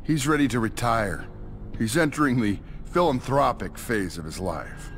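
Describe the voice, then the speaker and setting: An actor playing a calculating older corporate villain in a game cutscene, deliberate and persuasive. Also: male voice, mid-range voice, lively intonation, a bit bright. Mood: persuasive, scheming. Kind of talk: video game cutscene acting. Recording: music behind.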